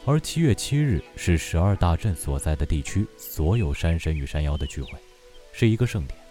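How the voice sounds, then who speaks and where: Deep, mellow-voiced, gentle mountain god played by a man. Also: sing-song, soft background music. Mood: calm, courteous. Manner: measured, courteous, softly indulgent. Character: calm, gentle, patient male mountain god. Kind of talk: Chinese audio drama acting.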